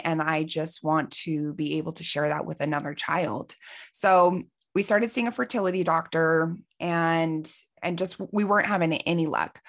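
A woman in her forties, a life coach hosting her podcast, telling a personal story in an earnest, heartfelt way, her voice a little hoarse from allergies. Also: fairly low pitch, fairly bright tone. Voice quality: slightly raspy.

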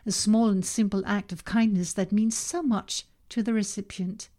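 A woman whose first language is Danish, reading her own self-help podcast in a calm, measured way with slow emphasis on key words. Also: mid-range voice. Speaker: podcast host and author. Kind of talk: scripted self-help narration.

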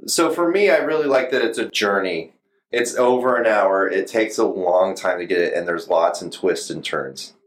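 A man's voice: deep voice, moderate pace. A man reviewing music in casual podcast chat, blunt and slangy, with some swearing. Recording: roomy, echoing room sound.

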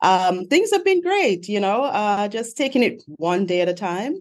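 A middle-aged woman from Jamaica, a guest in a casual interview, chatty and quick to laugh, listing what she does one item after another. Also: medium pitch, expressive, a little mellow. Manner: chatty, laughs often, stacks up lists. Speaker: guest, author.

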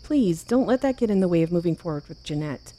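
An actress playing a composed but anxious, caring leader in a fiction podcast, speaking in a measured, earnest way. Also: female voice, lowish voice, lively intonation, warm tone. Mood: firm, reassuring. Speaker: leader of a survivors' haven. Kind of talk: naturalistic fiction podcast acting.

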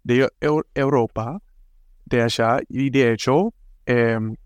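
A man's voice: medium pitch, slow pace, dry recording. A man from the United States with an American accent, a native English speaker hosting a casual podcast and speaking hesitantly, with frequent restarts and fillers. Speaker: podcast host.

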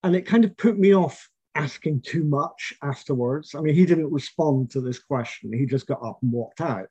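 A middle-aged man recalls his family's past in an oral history interview. He speaks in a measured, reflective way, with fillers and restarts. The recording is dry and a little dull and lo-fi. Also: a little high, mellow, husky, dry recording. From Scotland.

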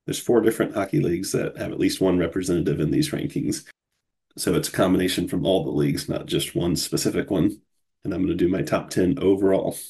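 A man's voice: low-pitched, a little fast, creaky, warm tone, breathy edge. A man from Cincinnati hosting a solo podcast in a casual, chatty way, sounding groggy from a cold. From Cincinnati, USA. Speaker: podcast host.

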